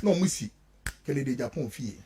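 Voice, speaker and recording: A man's voice: medium pitch, expressive, brisk, mellow. A man with a Ghanaian accent giving impassioned political commentary as a radio host, emphatic and repetitive, firing off rhetorical questions. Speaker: radio talk show host.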